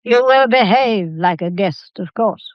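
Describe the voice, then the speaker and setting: Actress as bossy old granny, posh formal and weary, period radio drama, dry slightly muffled sound. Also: female voice, medium pitch, lively intonation, no room sound, natural voice. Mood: coolly ironic. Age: older. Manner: measured, formal, commanding. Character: imperious old family matriarch, weary, shrewd, coolly composed.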